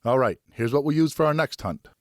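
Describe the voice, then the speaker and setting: A man's voice: mid-range voice, lively intonation, fairly quick, no echo, clean studio sound, voice pushed up, natural voice. Man voicing a raised-voice genial fatherly old-soldier mentor. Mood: brisk, encouraging. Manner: encouraging, instructive. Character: fatherly French ex-Hussar officer, genial hunting mentor. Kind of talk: audiobook character dialogue.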